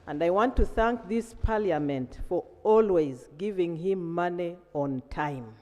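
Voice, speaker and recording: A man's voice: high voice, measured pace, a little mellow. A man with a Ugandan accent, a government whip, giving an orderly formal condolence speech. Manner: orderly, enumerating points. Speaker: member of parliament, government chief whip. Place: large parliamentary chamber. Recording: echoey hall with long reverb.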